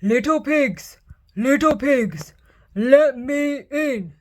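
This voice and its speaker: Big bad wolf, a lady putting him on, loud slow bellowing threats, storybook villain. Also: on the high side, lively intonation. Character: big bad male wolf, greedy and blustering.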